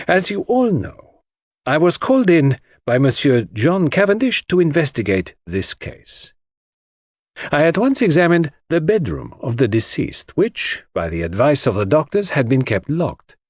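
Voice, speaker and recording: Man acting theatrical foreign detective, animated and husky. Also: mid-range voice, no room sound, studio quality, pitched above the performer's own voice. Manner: grandiose, courteous, emphatic. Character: theatrical, complacent, excitable foreign male detective.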